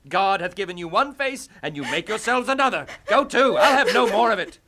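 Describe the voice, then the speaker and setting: Forceful, brooding young prince. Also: male voice, high-pitched, expressive, full character voice. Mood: furious, scornful. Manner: theatrical, deliberate soliloquy delivery. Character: brooding, tormented young prince who turns scornful and furious. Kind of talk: classical Shakespearean stage acting.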